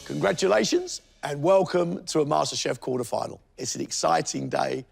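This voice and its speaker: A man judging a cooking competition, hoarse from a cold, excitable and jokey. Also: on the high side, normal speed. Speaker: judge. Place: TV studio kitchen. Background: background music.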